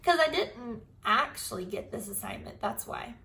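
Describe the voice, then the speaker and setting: A woman teacher giving an expressive storybook read-aloud, speaking directly to young pupils. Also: fairly high pitch, moderate pace. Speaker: primary school teacher.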